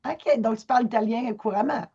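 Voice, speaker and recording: A woman interviewer, asking questions and making quick friendly quips. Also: a little high, warm tone, breathy edge, muffled recording.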